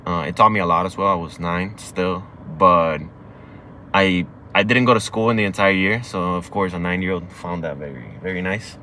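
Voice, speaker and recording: A man's voice: sits low, moderate pace, pushed and bright, a little hiss or hum. A young man from Cuba whose first language is Spanish, telling his story casually and candidly from inside a car. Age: young adult. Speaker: guest.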